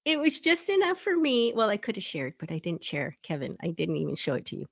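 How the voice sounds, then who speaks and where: A woman's voice: mid-range voice, huge pitch swings, brisk, creaky, slightly rough, dry recording. A woman co-hosting a podcast in casual chat, rambling and laughing often as she tells stories. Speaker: podcast co-host.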